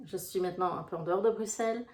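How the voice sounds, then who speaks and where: A woman's voice: fairly low pitch, fast, a little mellow. A woman from Brussels with a Brussels Belgian accent, a life coach chatting casually to camera in a rambling way. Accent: Brussels Belgian French. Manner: chatty, rambling, full of fillers. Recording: echoey room.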